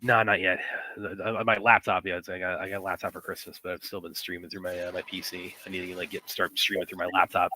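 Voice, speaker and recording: A man's voice: low voice, level tone, fast, bright, forceful tone, a little hiss or hum, poor audio. A young man co-hosting a live stream, rambling with many fillers and restarts, sniffling from a runny nose. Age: young adult. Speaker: co-host. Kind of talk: casual live stream chat. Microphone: computer headset mic with sound trouble.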